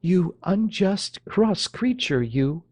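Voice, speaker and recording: A man's voice: high voice, animated, slow pace, a little mellow, no echo, clean studio sound, voice pushed up, exaggerated voice. A man voicing a coquettish, teasing young woman with a playful, mocking lilt.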